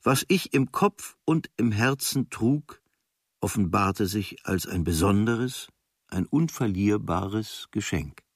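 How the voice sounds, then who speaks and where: A man reading as a reflective, grateful writer looking back on his travels, in a measured, formal literary style. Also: fairly low pitch, lively intonation, slightly rough, no echo, studio quality, heavily acted. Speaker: reader of a writer's travel diary preface. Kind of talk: literary first-person reading of a memoir.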